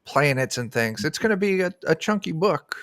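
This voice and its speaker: A man's voice: on the high side, sing-song, normal speed, fairly bright tone, no room sound. Man chatting, dry close sound. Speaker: guest game designer. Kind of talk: casual interview chat. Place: indoor room, on a video call.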